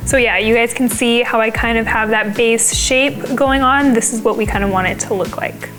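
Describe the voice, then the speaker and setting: Slightly raspy young woman over music. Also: a little high, steady tone, moderate pace. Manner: casual, chatty step-by-step explaining. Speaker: beauty vlogger. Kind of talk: makeup tutorial voice-over.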